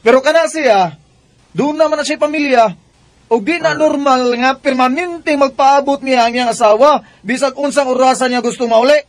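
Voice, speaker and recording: An actor playing a defiant, hot-headed young son in a Cebuano radio drama. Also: male voice, extremely high pitch, normal speed. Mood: defiant, indignant. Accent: Cebuano Bisaya. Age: young adult. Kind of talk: Bisaya radio drama acting.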